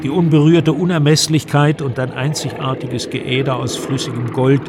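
An actor playing an investigative journalist, narrating a radio drama in a measured, literary manner. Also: male voice, medium pitch, little pitch movement, noisy background, natural voice. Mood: measured narration.

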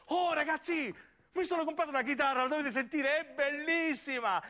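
An exuberant southern Italian teenage boy, voiced by a man, high in pitch and excitable. He is a showy radio-play character who loves an audience. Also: brisk. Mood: excited.

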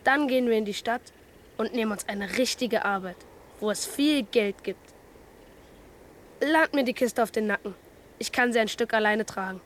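A woman's voice: on the high side, moderate pace, long pauses, slight background noise, close to an everyday voice. A woman playing a proud, defiant teenage farm boy in a radio play, blunt and determined.

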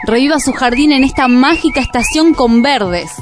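Una mujer argentina que lee un anuncio comercial de radio con entrega suave e invitante. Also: female voice, high-pitched, moderate pace. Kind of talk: scripted radio ad reading. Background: music bed.

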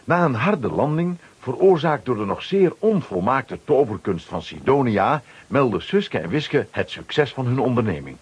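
A man's voice: mid-range voice, sing-song, normal speed, slight background noise. A man narrating a children's radio play from a script, dramatically.

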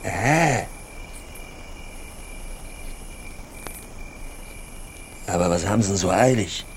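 An actor in a radio drama playing a sly, awkward country fellow with halting, needling, colloquial talk. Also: male voice, lively intonation, a little hiss or hum. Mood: awkward, probing. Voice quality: very low, creaky.

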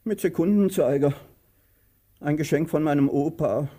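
An actor playing a pompous, bullying, fussy master with a husky, rough voice in a theatrical radio play. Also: male voice, a little high, moderate pace, dark tone, close to an everyday voice. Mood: fussy, ceremonious. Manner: barks orders, then lapses into ceremonious politeness.

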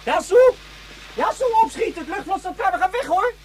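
An angry, whiny little boy in a radio drama. The voice is very high and leaps about, stammering with indignation. A faint hiss sits behind it. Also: animated, fairly quick. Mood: impatient. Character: hot-tempered young boy, whiny and insulting. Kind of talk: radio play acting.

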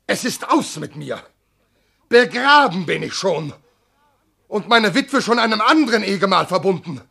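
An actor playing an outraged, humiliated Theban general in a classical radio play, declaiming verse with tragic passion. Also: male voice, on the high side, gravelly, bright, forceful tone, husky, heavily acted. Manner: impassioned, tragic declamation, exclaiming. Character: outraged, humiliated Theban general denied his own identity.

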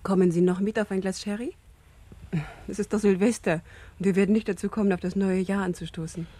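An actress playing a polite, grieving young woman in a radio crime drama, speaking softly and wistfully. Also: medium pitch, steady tone, a little fast, natural voice. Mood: polite, inviting. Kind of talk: 1970s German radio crime drama acting.

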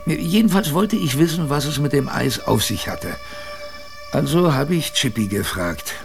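A man's voice: on the high side, animated, measured pace, vocal fry, music in the background. An actor playing a former ship's boy who narrates his memories in a radio drama, conversational, vivid and self-mocking. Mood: wry, chatty. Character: reminiscing former ship's boy, a wry male storyteller.

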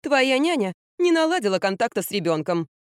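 An older grandmother pleads and insists in a raised, lively voice, as a woman puts her on. Her speech swoops with emotion and drops into coaxing tones. The recording is dry and close. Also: a little fast, clean tone, no echo. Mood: bossy. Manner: pleading, coaxing, high and emphatic.

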